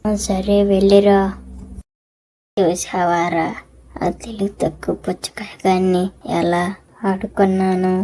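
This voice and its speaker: Monotone, mocking trickster vegetable played by a woman. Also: medium pitch, unhurried, long pauses, natural voice. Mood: sly, mocking. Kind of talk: animated moral story dialogue.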